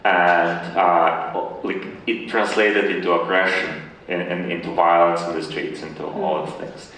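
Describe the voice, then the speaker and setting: A man from Ukraine answering interview questions conversationally, with a halting delivery full of repeated words. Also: sits low, level tone, fairly bright tone. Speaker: interview guest, tech founder. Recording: very echoey room, distant mic, faint noise.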